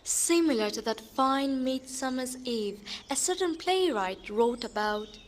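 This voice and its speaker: An actress playing a lyrical storyteller, giving poetic and deliberate dramatic narration of a fairy-tale adventure. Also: female voice, sits high, expressive, a little hiss or hum. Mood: wondering, hushed.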